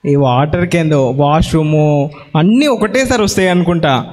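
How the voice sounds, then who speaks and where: A woman's voice: deep voice, expressive, normal speed, darkish tone. A woman hosting a children's Bible school puppet show, playfully talking with puppets and children. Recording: reverberant hall, faint background noise.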